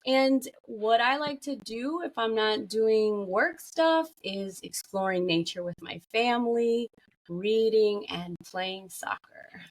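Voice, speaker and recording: A woman's voice: fairly high pitch, slow, poor audio. A woman talking conversationally as a guest on a podcast interview. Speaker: podcast guest, workplace well-being consultant.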